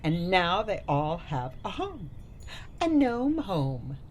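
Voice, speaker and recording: Gushing grandma for radio drama woman actor: unhurried, lilting widely, some noise and ambience behind her. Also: fairly low pitch, sing-song. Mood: cheerful, doting. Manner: gushing, cutesy, doting. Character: sweet, gushing, doting grandmother. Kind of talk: radio play acting.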